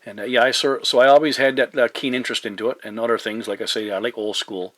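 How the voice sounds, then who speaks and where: A middle-aged man chatting as a radio operator, courteous and measured, with hesitant phrasing and operator jargon. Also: medium pitch, steady tone, fairly bright tone, no echo. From Newfoundland, Canada. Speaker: amateur CB radio operator hosting a video contact.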